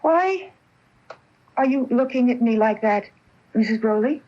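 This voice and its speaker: High-voiced, earnest young actress. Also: female voice, lively intonation, measured pace. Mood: flustered. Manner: hesitant, breaking off phrases. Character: earnest aspiring actress, impressionable, easily flustered young woman. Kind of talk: radio mystery acting. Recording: dull off-air AM radio recording.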